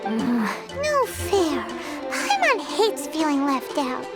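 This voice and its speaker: A squeaky, excitable fairy companion. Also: female voice, slow pace, heavily acted. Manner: talks about herself in the third person, nosy. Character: tiny female fairy companion, chatty and excitable. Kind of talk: video game voice acting. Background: light game music.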